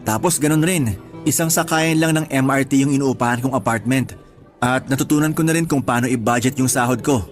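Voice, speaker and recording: A man's voice: medium pitch, brisk, faint music behind, natural voice. A man acting as a friendly young schoolteacher in a radio drama, speaking in an easygoing, reassuring, lightly teasing way. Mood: sincere, slightly hesitant.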